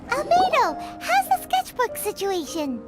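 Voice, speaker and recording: An excitable little female fairy sidekick from a video game. The voice is squeaky, childlike and extremely high, bouncing around with perky, cheeky energy. Loud music runs underneath. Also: unhurried, exaggerated voice. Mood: curious, teasing. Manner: perky, talks of herself in third person, exclamatory. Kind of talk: scripted cartoonish video game voice acting.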